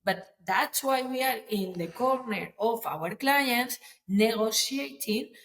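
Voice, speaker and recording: A woman's voice: a little high, lively intonation, takes it slowly, poor audio. Woman lawyer explaining. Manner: earnest, explanatory, non-native phrasing with restarts. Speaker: property lawyer, guest. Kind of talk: podcast interview, explaining.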